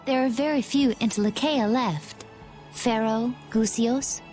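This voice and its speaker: A woman plays a mysterious woman warrior in a video game. Her voice is fairly high, composed and firm, with faint music behind. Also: measured pace, dark tone, close to an everyday voice.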